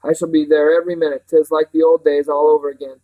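A man acts out an older village housekeeper from a storybook. She is fond, cheerful and bustling, and she chatters away in homely rustic turns of phrase, pitched fairly high for a male voice. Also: level tone, fast, narrow, tinny audio, close to an everyday voice. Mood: eager, chatty.

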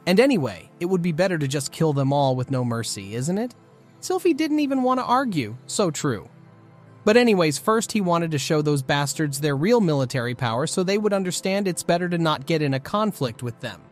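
A man with a somewhat high voice narrates a scripted manga recap. He tells the story in the third person as a recap narrator. Music plays behind his voice. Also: very animated, a little fast. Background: background music.

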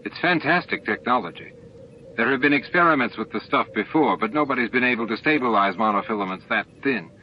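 A man's voice: mid-range voice, expressive, fairly quick, dull audio, a little hiss or hum, lightly acted. A man reading the part of an eager, technically minded male starship engineer in an emphatic, explanatory manner. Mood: keen explaining.

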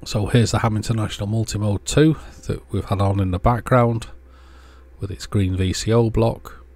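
A man narrating a hands-on electronics demonstration as host, explaining step by step in a measured way. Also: fairly low pitch, darkish tone. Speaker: host of an electronics repair channel.

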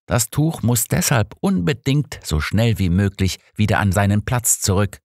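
A man's voice: on the low side, big pitch swings, normal speed, darkish tone, no echo, clean studio sound, pitched above the performer's own voice. A man voicing a distraught, devout cardinal in an audiobook, speaking formally with grave, pleading earnestness. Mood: distressed, pleading.

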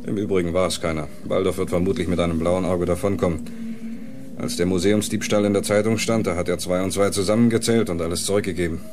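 A man acts as a stern detective confronting a suspect in a radio drama. The voice is fairly low, firm and accusing, with clear noise in the recording. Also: fairly even intonation, fast, natural voice. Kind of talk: German radio crime drama acting.

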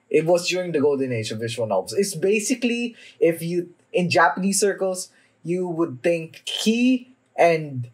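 Young guy doing casual anime reaction commentary, really slow n hesitant, keeps restarting, mid pitch. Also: darkish tone, poor audio. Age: young adult. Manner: halting, hesitant, frequent trailing repeats. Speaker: anime reaction video host. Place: small room at home, indoors.

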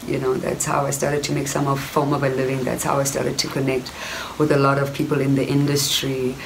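A woman's voice: very deep voice, little pitch movement, fairly quick, gravelly, background noise. A young South African woman with a South African accent, recording herself indoors and telling her story in a reflective, casual way, often saying "you know". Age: young adult. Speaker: actress. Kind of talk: casual personal storytelling to camera. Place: indoor room before wooden cupboards. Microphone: camera at close range.

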